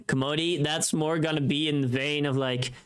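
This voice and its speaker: A woman's voice: deep voice, steady tone, fairly quick, clear, resonant tone. A woman livestreamer chatting casually in a playful, teasing, informal way, often thinking aloud and trailing off.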